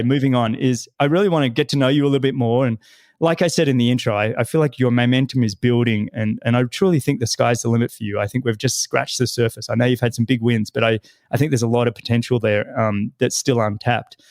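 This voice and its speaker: A middle-aged man hosting an interview podcast, slightly raspy from a head cold, friendly and calling his guest "mate". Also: mid-range voice, fast talker, a little mellow, no room sound, studio quality. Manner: friendly, says "mate" often. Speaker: podcast host.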